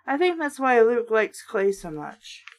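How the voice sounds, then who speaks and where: Casting: woman book critic, higher-pitched voice, sardonic and sweary, moving between read-aloud and offhand remarks. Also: very animated, measured pace. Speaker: host reading and critiquing a children's book. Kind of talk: read-aloud with sarcastic casual commentary.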